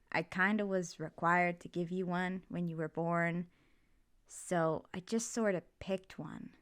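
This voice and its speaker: A woman playing a supportive, doting mother in an ASMR roleplay, talking in a gentle, reassuring, slightly rambling way. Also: mid-range voice, lightly acted. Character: supportive, doting, chatty mother comforting her child. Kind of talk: ASMR roleplay, intimate one-sided conversation.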